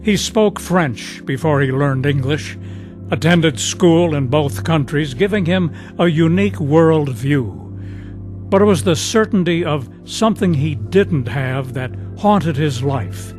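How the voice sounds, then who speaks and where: A man announces and narrates a scripted radio drama program. His voice sits a little high, with faint music along with it. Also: unhurried, a little mellow.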